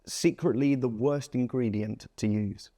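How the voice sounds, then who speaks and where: A man hosting an interview, upbeat and enthusiastic, firing off quick questions. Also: medium pitch, warm tone. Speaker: podcast host. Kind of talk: casual interview.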